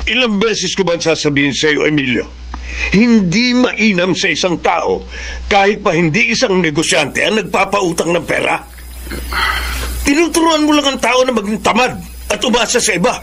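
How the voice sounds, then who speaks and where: A man's voice: sits high, expressive, normal speed, gravelly, a bit bright, heavily acted. An actor playing a stern, domineering middle-aged businessman father in a Filipino radio drama, lecturing and commanding. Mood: scolding.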